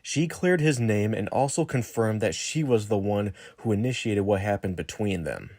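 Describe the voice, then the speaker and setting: A man's synthetic robotic voice reading a formal, scripted exposé in a measured way. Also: lowish voice, a little mellow, no room sound, studio quality. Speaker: video narrator. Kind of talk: scripted narration, accusatory exposé.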